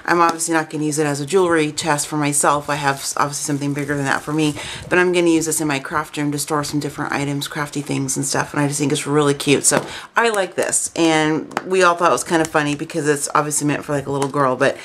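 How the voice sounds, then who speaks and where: A woman in her thirties or forties, hoarse and stuffy with a cold, chatting casually and rambling about crafts at home. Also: sits low, steady tone, fast, fairly bright tone, slight background noise. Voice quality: raspy. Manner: chatty, rambling, lots of "um" fillers. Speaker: crafting vlogger. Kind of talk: casual chat to camera.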